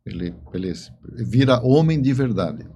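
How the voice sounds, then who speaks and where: A man in his seventies with a Brazilian accent answering interview questions conversationally, in a halting way that repeats words. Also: mid-range voice, animated, warm tone, a little hiss or hum. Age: 70s. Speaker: interview guest, writer and engineer.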